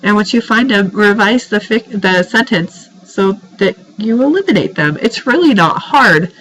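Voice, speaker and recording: A woman's voice: medium pitch, animated, normal speed, a bit bright, poor audio. A woman teacher speaks informally to her students in recorded feedback. Her voice is stuffed up with a cold. She sounds exasperated and pleading, leaning firmly on her points. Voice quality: congested, stuffed-up nose. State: has a head cold. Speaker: writing teacher.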